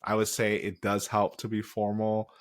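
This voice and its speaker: A man's voice: lowish voice, completely flat delivery, moderate pace. A young man from Nigeria, raised in the Midwest, hosting a solo podcast and giving encouraging career advice in a casual tone. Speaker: podcast host.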